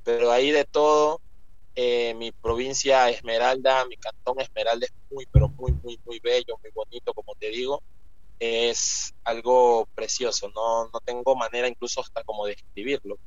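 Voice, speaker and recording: A man's voice: mid-range voice, little pitch movement, normal speed, no room sound. A young man from Ecuador, a guest author talking casually and rambling with many fillers and restarts. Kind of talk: casual interview talk. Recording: muffled lo-fi sound.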